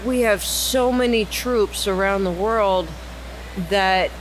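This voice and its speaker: A woman's voice: medium pitch, takes it slowly. Woman podcast host, earnest persuader; noisy lounge recording with vehicles passing. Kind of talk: casual roundtable chat. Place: cigar lounge.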